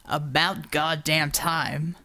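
A woman voicing a gruff, sardonic male combat operative in an audiobook, rumbling out curt, blunt reports. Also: little pitch movement, slow, bright, forceful tone, exaggerated voice. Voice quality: low rumbling.

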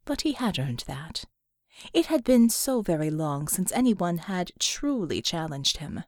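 A woman's voice: on the low side, a little mellow, no room sound. Woman narrating fiction, close and dry. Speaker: audiobook narrator.

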